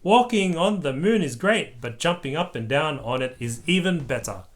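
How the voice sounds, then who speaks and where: A parent reading as an excitable little piglet girl from a storybook. The delivery is eager and exclamatory, with very lively pitch. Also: normal speed, natural voice. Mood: thrilled. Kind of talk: children's storybook read-aloud.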